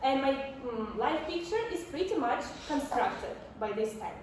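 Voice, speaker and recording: A woman in her twenties with a Ukrainian accent, telling a personal story on stage in an animated way, acting out dialogue. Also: high voice, a little slow. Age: 20s. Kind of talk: personal storytelling talk to an audience. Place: indoor stage before a community audience. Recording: echoey, distant, faintly noisy live room.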